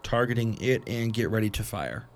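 A man's voice: lowish voice, animated, normal speed, soft background music. A man playing a tabletop game, making dry, wry remarks in casual chat. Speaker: player.

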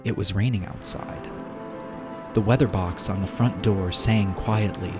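A man's voice: low voice, steady tone, a little slow, over loud music. A man speaking as a podcast host and narrator, presenting literature and audio drama.

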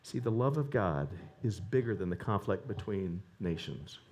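A man preaching a sermon, animated and rising to emphatic peaks. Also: sits low, normal speed, slight background noise.

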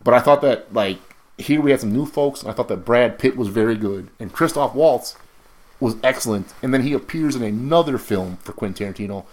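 A man with a Milwaukee accent, co-hosting a podcast in quick, casual, animated chat. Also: medium pitch. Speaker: podcast co-host.

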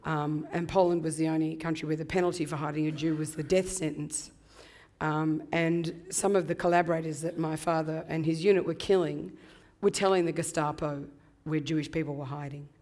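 A woman in her fifties with a husky voice from laryngitis, reflecting thoughtfully as an interview guest with many ums. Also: low-pitched, steady tone, brisk, a little mellow. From Australia. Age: 50s. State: hoarse from laryngitis. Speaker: guest author. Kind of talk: conversational interview.

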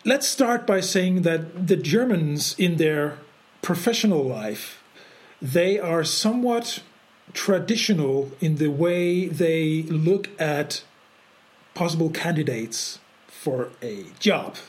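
A fairly high-voiced man from Denmark co-hosts a casual podcast. He talks in a halting way, stumbling over repeated words and restarting his sentences as he searches for the right phrase. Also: animated. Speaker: podcast co-host.

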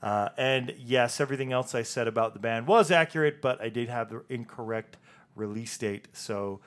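A man co-hosting a casual music podcast, brisk and upbeat, moving the talk along. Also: medium pitch. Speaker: podcast co-host. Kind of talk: casual chat.

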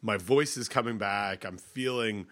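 A man's voice: on the low side, measured pace, fairly bright tone. A man in his thirties co-hosting a podcast, stuffed up from a cold, rambling with lots of restarts. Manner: rambling, hesitant, many restarts. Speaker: podcast co-host. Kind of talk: casual chat.

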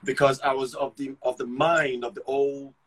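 A middle-aged man from Ghana speaks in a husky, slightly breathy voice at an everyday pitch. He is an actor reflecting on his life in a casual interview. He thinks out loud, doubling back and repeating words before he lands a point. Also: level tone. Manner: halting, restarts and repeats words while thinking aloud. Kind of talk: casual conversational storytelling.

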